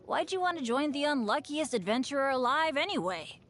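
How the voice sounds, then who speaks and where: A woman's voice: high-pitched, sing-song, fairly quick, a bit bright, faint music behind. A woman voicing an upbeat but unlucky teenage boy adventurer in a video game, with an earnest, chatty, boyish delivery. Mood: earnest, hopeful. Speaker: game character voice actor. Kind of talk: scripted game character voice lines.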